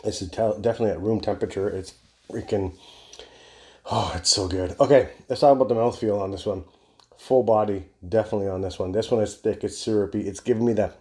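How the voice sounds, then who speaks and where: Low, mellow-voiced enthusiastic man rambling casually. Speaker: beer reviewer, video host. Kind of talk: casual review chat to camera. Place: indoor home bar room.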